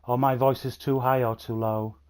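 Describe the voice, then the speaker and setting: A man's voice: medium pitch, little pitch movement, moderate pace, darkish tone. A man chatting enthusiastically to viewers by name as he streams a bus game, speaking into a headset mic. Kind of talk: casual livestream commentary. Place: at home playing on a console. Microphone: new gaming headset.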